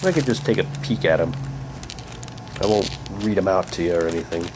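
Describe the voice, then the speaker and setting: A man's voice: deep voice, a little fast. Man in a noisy home recording. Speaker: unboxing vlogger. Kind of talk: casual commentary, reading prop documents aloud.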